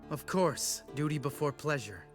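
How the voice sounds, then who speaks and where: A man's voice: a little high, animated, normal speed, close to an everyday voice. Man as sardonic fantasy game monster hunter, brash, abrasive, prominent music behind. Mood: wry, casual. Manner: sarcastic, brash, swearing. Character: cynical, abrasive younger male witcher warrior.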